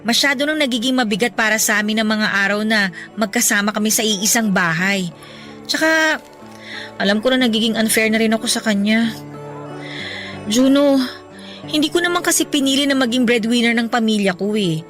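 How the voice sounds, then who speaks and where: Woman acting a high-voiced, burdened young Filipina. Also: brisk, long pauses, a bit bright, faint music behind, close to an everyday voice. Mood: wistful, burdened. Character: stressed young Filipina breadwinner confiding heartbreak to a friend. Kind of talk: Tagalog radio drama acting.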